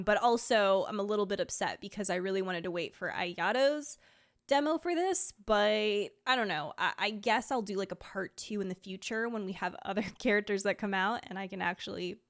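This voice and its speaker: A woman's voice: medium pitch, moderate pace. A woman talking casually to viewers like a streamer, in a conversational way sprinkled with "like" and small laughs. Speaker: video creator and streamer presenting a sponsored ranking video. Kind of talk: casual chatty vlog talk to viewers.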